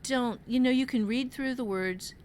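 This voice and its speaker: A woman's voice: a little high, normal speed, a little mellow, a little hiss or hum. A woman giving casual, conversational writing advice as a podcast host, emphatic and repeating words for stress.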